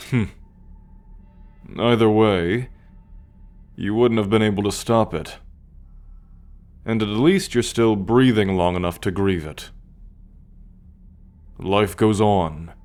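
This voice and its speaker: A man playing a sardonic, coolly possessive male alien captor in an ASMR roleplay, speaking deliberately with a teasing condescension. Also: lowish voice, a little mellow. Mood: cool mockery, then stern, resigned. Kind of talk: ASMR roleplay monologue addressed to the listener.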